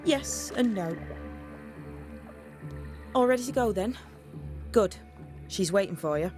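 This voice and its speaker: A woman playing a blunt, sly young witch's novice in a video game, wry and teasing in a deadpan way. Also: mid-range voice, slow, soft background music. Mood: breezy. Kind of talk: video game cutscene acting.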